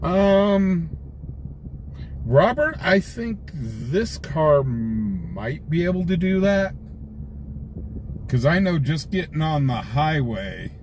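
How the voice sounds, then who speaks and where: A man's voice: a little high, sing-song, slow, pauses often, low-quality recording. An American man chatting casually with livestream viewers from a car, telling rambling anecdotes. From United States. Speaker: livestream host, scanner-chasing reporter. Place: inside a car, live on patrol. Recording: raw livestream, loud vehicle noise.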